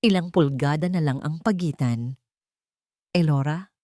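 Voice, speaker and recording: Filipino woman narrating romance, low and close. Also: animated, normal speed, a little mellow, no echo. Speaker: story narrator. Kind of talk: dramatic scripted romance narration.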